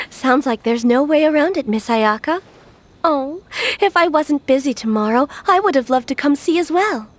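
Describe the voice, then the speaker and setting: A woman's voice: sits high, animated, normal speed. A woman playing a friendly, supportive, lightly teasing companion in video game dialogue. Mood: amused.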